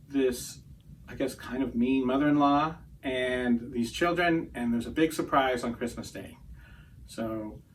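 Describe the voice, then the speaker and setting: Distant-miked man, mid-pitched, casual joking host, halting delivery. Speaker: publisher and co-host. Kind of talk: casual, joking presenting of book announcements. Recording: distant mic, faint background noise.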